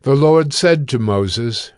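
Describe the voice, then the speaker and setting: A man narrating scripture from a script in a measured, formal manner. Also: mid-range voice, expressive, darkish tone, no room sound, clean studio sound. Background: faint background music.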